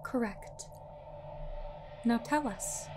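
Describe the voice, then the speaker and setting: A woman's voice: fairly high pitch, extremely slow, music in the background. A woman playing a commanding, composed interrogator in an audio drama, speaking formally in stern, clipped phrases. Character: commanding, composed female interrogator with mind powers.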